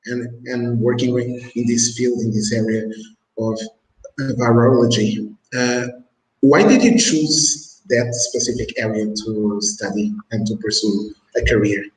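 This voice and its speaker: Slow-talking man hosting an online talk show. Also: mid-range voice, reverberant, rough recording. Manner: slow, halting, with many restarts. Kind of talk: casual interview hosting. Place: Mozambique.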